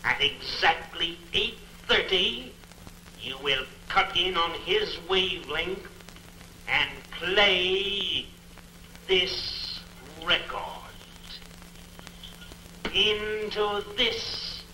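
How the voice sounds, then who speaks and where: Male villain, 1940s serial: sharp, forceful, slow menace, echoey humming old soundtrack. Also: high-pitched, animated, a little hiss or hum, full character voice. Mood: commanding. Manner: slow, deliberate, imperious. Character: invisible male villain mastermind, commanding and menacing. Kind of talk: 1940s film serial acting.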